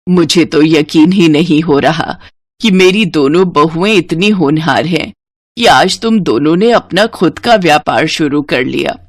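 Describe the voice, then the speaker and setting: A woman's voice: low voice, measured pace, no room sound, studio quality, pitched below the performer's own voice. A woman voicing a kind, motherly elderly village woman in a moral story cartoon. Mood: proud blessing. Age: older. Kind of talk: animated moral story dialogue.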